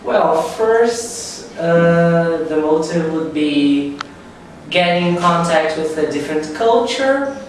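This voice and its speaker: A man's voice: on the high side, takes it slowly. Confident man, echoey room. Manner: relaxed, confident, peppered with 'you know'. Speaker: language teacher, interviewee. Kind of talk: class project interview. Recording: roomy echo, distant mic, faint noise.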